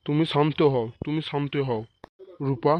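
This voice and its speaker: A man's voice: fairly high pitch, steady tone, moderate pace, dull audio, close to an everyday voice. A man voicing a stern but consoling village father in a cartoon, pleading earnestly. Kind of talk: amateur comic cartoon dubbing.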